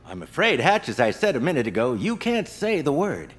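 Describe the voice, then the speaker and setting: A man's voice: on the high side, wildly swooping pitch, a little fast, noisy background. A man playing an officious radio quiz show host in an audio comedy, showy, polite and firm. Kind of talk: game show presenting, audio fiction.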